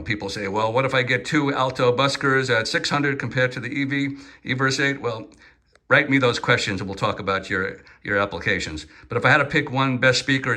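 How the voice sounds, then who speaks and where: A man's voice: medium pitch, pushed and bright. A man giving an unscripted, informal and opinionated product review, explaining features hands-on as he goes. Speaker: product reviewer presenting portable PA speakers.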